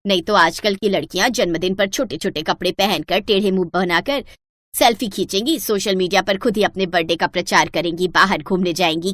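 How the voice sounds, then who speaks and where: A woman's voice: medium pitch, a bit bright, no room sound, clean studio sound, pitched below the performer's own voice, lightly acted. An older mother-in-law from a moral storybook tale, acted out by a woman narrator. She lectures emphatically, weighing up the young wives around her, and her voice drops under the narrator's usual tone. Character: older mother-in-law, preachy, admiring then scolding.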